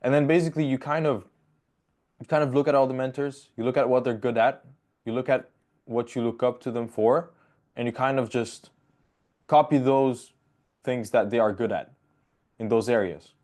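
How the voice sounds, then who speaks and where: A young man hosting a casual podcast over a video call from his bedroom, talking in a loose, rambling way with quick jokes. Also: mid-range voice, steady tone, darkish tone. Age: young adult. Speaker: podcast host. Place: bedroom at home. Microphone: video call webcam.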